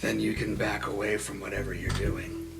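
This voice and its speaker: A man plays a guarded young aristocratic creature in a fantasy game. The voice is lowish, curt and confrontational, with music clearly underneath. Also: level tone, normal speed. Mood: cold, distrustful.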